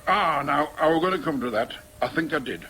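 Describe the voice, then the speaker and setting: A man's voice: fairly high pitch, lively intonation, a little fast, bright, forceful tone, a little hiss or hum. An actor in a radio drama playing an older working-class Yorkshireman, chatty and matter-of-fact as he reminisces in broad colloquial speech. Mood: thoughtful recalling.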